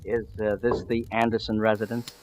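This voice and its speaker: A man's voice: on the low side, flat delivery, darkish tone, close to an everyday voice. An actor playing a sheepish, honest door-to-door salesman in a 1940s radio drama, on an old hissy recording. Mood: rehearsed, brisk pitch. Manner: halting, sheepish, sing-song sales patter.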